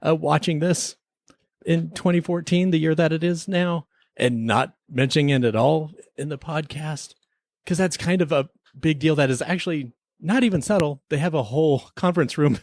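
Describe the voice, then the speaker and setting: A man's voice: on the high side, moderate pace, no echo. A man hosting a podcast, talking through a review in a casual, playful and wry way. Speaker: podcast host.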